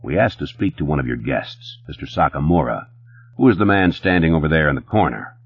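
A man voicing a calm, unruffled police captain who speaks quietly with restrained menace. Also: very deep voice, fairly quick, breathy edge, no room sound, highs cut off, exaggerated voice. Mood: calm, menacing.

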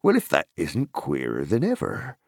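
Man voicing a gruff, hearty hobbit farmer. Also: low voice, huge pitch swings, normal speed, darkish tone, no echo, clean studio sound, full character voice. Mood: astonished. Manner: rustic, blunt, exclamatory. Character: gruff, hearty, suspicious but hospitable middle-aged hobbit farmer. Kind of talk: dramatized fantasy audiobook character.

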